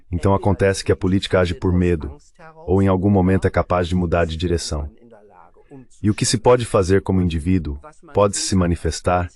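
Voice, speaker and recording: A man giving a measured, explanatory voice-over translation of a guest on a discussion panel. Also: deep voice, a little mellow.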